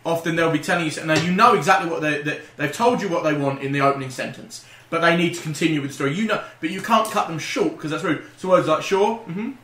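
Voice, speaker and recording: A man's voice: on the high side, quick pace, few pauses, pushed and bright. A British man chatting casually and telling anecdotes as a podcast co-host. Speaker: podcast co-host who works in a shop. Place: indoor room, group podcast. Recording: echoey room.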